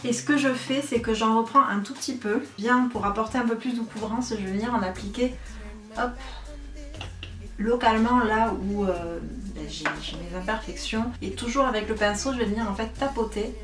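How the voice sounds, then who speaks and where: A woman's voice: on the high side, normal speed, fairly bright tone. A young woman giving a casual makeup tutorial, chatty and self-mocking, with frequent fillers. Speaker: beauty vlogger. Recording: echoey room, voice a bit far from mic, faint music.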